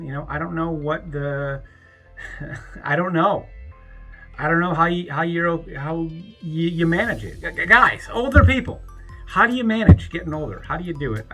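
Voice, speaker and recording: A man in his thirties talking alone to camera in a rambling, halting way, often restarting his sentences and sometimes breaking into profane ranting. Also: fairly high pitch, lively intonation, sharp tone, faint music behind. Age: 30s. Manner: rises into an angry, profane rant. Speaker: YouTuber. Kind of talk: reflective birthday vlog monologue.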